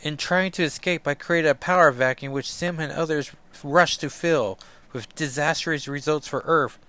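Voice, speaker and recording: A man voicing a teenage girl sorceress, resigned and solemn about her dark destiny. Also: a little high, moderate pace, smooth, voice pushed up. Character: sorrowful teenage sorceress queen.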